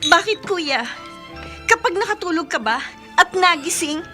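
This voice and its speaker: An actress playing an exasperated, concerned young Filipino sister, scolding and pleading in radio drama style. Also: female voice, extremely high pitch, lively intonation, measured pace, sharp tone, exaggerated voice. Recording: faint music behind.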